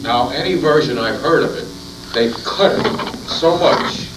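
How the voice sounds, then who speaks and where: A man's voice: mid-range voice, a little slow. Distant man in a noisy, echoey recording. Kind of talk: casual conversation, recounting. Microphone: off-mic, away from the recorder. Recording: distant, roomy, very noisy tape.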